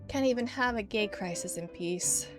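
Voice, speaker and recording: A woman's voice: mid-range voice, moderate pace, music in the background, lightly acted. A woman reading the part of a flustered, lovestruck young man in a visual novel, self-conscious and sighing. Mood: wistful, confused. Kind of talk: expressive read-aloud of visual novel text.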